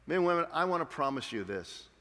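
A male pastor preaching in an emphatic, rhetorical way, his voice sitting a little high for a man. His words ring out with a long hall reverb, as if he is standing in a large church auditorium. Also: very animated, fast, sharp tone. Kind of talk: sermon.

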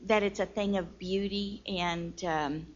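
A woman's voice: medium pitch, steady tone, measured pace, a little hiss or hum. Female student, hesitant questioner, lo-fi room sound. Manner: hesitant, many fillers.

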